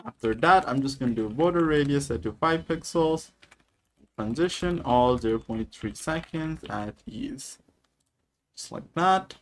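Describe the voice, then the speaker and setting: A man's voice: mid-range voice, lively intonation, unhurried. A man from Nepal on a live coding stream, casually dictating each line of code and chatting with viewers. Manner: casual, talks through each step while typing.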